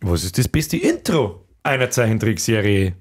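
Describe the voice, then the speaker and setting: A man's voice: on the low side, sing-song, normal speed, breathy edge. A man with a Bavarian accent chatting casually with friends as a podcast co-host, joking and exclamatory. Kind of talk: casual chat among friends.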